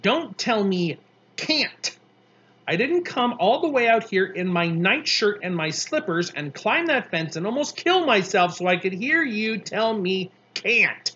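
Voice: mid-range voice, slow pace, sharp tone, husky, highs cut off, exaggerated voice. A narrator voicing an angry, bossy young girl from a storybook, with snappish, emphatic scolding. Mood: furious, yelling.

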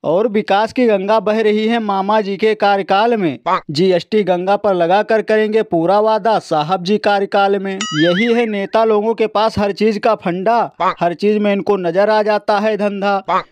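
A man's voice: high voice, steady tone, unhurried. A young man hosting a mock news satire, delivering sarcastic, declamatory monologue with rhymed jibes. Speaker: host of a political satire show.

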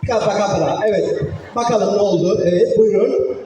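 A man's voice: sits high, normal speed. A man hosting a live children's stage show, speaking playfully to children and parents in short repeated prompts and questions. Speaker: host of a children's magic show. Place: large echoing venue with an audience. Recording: echoey hall, far from mic, music behind.

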